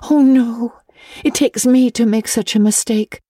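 A woman doing a dramatic young storybook girl, her voice pitched well above a grown woman's and tumbling out in eager, breathless rushes. She stammers when upset and swoons over every small joy. The sound is close and dry. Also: warm tone, no room sound. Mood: mournful. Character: excitable talkative orphan girl, dramatic and eager. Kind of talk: audiobook character voice.

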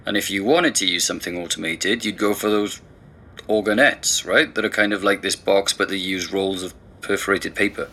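A man's voice: sits low, fairly even intonation, moderate pace, sharp tone, husky, heavily acted. An actor playing a sceptical, inquisitive man helping an investigation in a naturalistic audio drama.

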